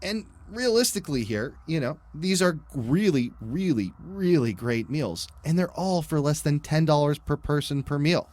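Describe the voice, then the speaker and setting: Higher-voiced, animated male ad reader. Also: normal speed, hardly any pauses, no room sound. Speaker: podcast ad co-reader. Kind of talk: conversational sponsor read.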